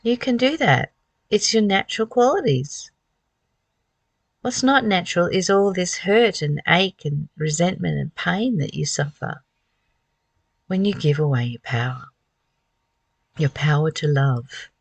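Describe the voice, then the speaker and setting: A woman's voice: on the low side, animated, unhurried, creaky, long pauses, no room sound. A woman giving a spiritual talk as a teacher, reading and reflecting with rhetorical questions.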